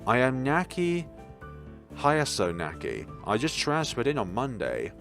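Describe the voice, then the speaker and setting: An awkward teenage transfer student from a visual novel, played by a man. He is hesitant and easily flustered, his pitch swinging widely, with faint music behind. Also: wildly swooping pitch, a little slow, fairly bright tone, exaggerated voice.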